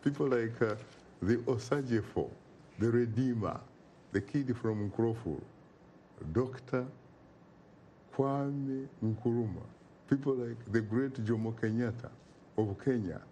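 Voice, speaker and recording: A man's voice: medium pitch, slow, long pauses, warm tone, a little hiss or hum. A man from Uganda, speaking as an interview guest in an emphatic, oratorical way and repeating phrases for effect. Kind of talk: impassioned interview talk.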